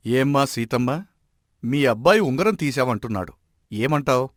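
A man voicing a respected village president who settles disputes in an animated moral story, talking in an avuncular way. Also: medium pitch, moderate pace, no room sound, studio quality, lightly acted. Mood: questioning.

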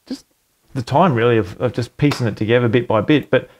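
Middle-aged male creator, home studio desk, easygoing and rambling with lots of ums, everyday mid-pitched voice. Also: fairly quick, heavy background noise. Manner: relaxed and rambling, with fillers and self-corrections. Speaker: home music producer and channel host. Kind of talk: casual walkthrough of a home recording. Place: small home studio room at a computer desk.

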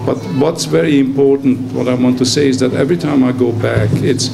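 Older Hungarian-accented man, gravelly voice. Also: medium pitch, steady tone, brisk, music in the background. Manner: rambling, warm anecdotes, frequent chuckles and "you know". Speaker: award recipient giving a speech. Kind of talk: unprepared acceptance speech. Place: consulate reception room, indoors. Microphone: stand microphone.

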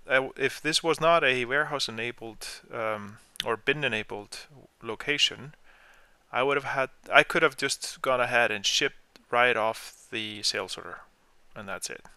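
A man explaining software step by step in an informal screen-share tutorial, as the trainer. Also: mid-range voice, smooth, no room sound.

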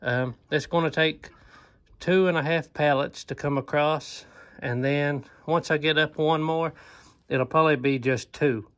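A man's voice: on the high side, fairly even intonation, a little slow, fairly bright tone. A man, chatting easygoing and folksy about a barn project, with plenty of ums and uhs, recorded on a handheld phone in a wooden barn. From Eastern Kentucky. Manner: easygoing, folksy, with many "um" and "uh" fillers. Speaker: homesteader vlogger. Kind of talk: casual DIY vlog talk. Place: inside an old wooden barn. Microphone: phone camera at arm's length.

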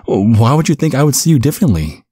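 A man playing a caring, bumbling vampire father in an ASMR roleplay, speaking gently with a stammering, reassuring manner. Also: mid-range voice, sing-song, fast talker, breathy edge, dry recording, clean studio sound. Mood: surprised, concerned. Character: caring, bumbling vampire father, looks twenty-five, over a century old.

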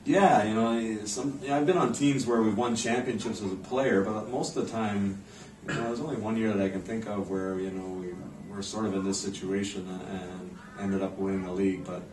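A North American man speaks as a coach at a press conference, in a low, level voice. He is measured and understated, pausing as he goes. The mic sits back from him in a noisy room. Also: fairly even intonation, a little mellow. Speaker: head ice hockey coach. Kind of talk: post-game press conference answers. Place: indoor press room in front of a backdrop. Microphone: camera microphone at a distance. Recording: roomy, distant mic, clear background noise.

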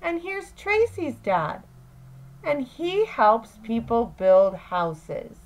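Very slow-paced woman reading to children. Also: fairly high pitch, big pitch swings, rough recording. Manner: deliberate, phrase-by-phrase delivery for young children. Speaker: teacher reading aloud. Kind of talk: slow, expressive children's read-aloud lesson.

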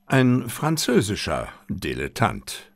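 A man voicing a calm, drily teasing detective's companion in an audiobook, understated and gently insistent. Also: on the low side, slow pace, creaky, no room sound, studio quality, heavily acted. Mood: insistent, correcting. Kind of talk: audiobook character reading.

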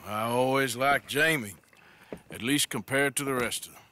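A man's voice: mid-range voice, measured pace, bright, forceful tone, slight background noise. An actor playing a rugged outlaw in his thirties in a game drama, bitter and world-weary, with an American drawl. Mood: bitter, sardonic. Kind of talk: dramatic video game acting.

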